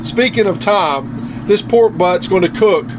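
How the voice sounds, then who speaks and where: A man's voice: sits high, lively intonation, a little slow, reverberant, noisy background. A man with a Southern American accent hosting a casual home barbecue tutorial, easygoing and folksy as he talks straight to viewers. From Southern United States. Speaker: barbecue channel host. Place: home kitchen, then outdoor grill area.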